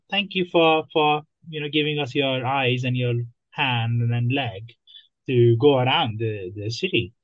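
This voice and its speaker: A man's voice: medium pitch, no echo. Hesitant young man from Nepal, dry muffled sound. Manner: hesitant, many ums and restarts. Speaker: guest, app founder. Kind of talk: podcast interview.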